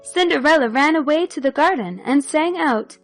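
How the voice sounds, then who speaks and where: A woman storyteller with a high, very lively voice reads a children's fairy tale. She narrates expressively and takes her time, with music playing behind her. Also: moderate pace, rough recording.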